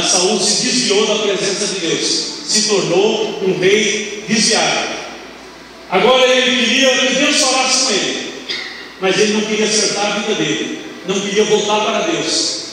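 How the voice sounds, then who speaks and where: High-voiced older pastor in an echoing hall. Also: male voice, pushed and bright, far from the mic, slight background noise. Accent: Brazilian Portuguese. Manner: conversational preaching with tag questions and quick asides. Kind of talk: teaching sermon. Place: large bright church hall, indoors. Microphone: handheld mic, recorded from far camera.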